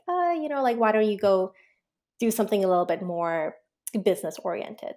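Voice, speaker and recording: Relaxed woman guest, full of ums. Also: mid-range voice. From Pakistan. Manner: relaxed, reflective, many ums and likes. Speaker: guest, children's book author and illustrator. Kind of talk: casual interview answers.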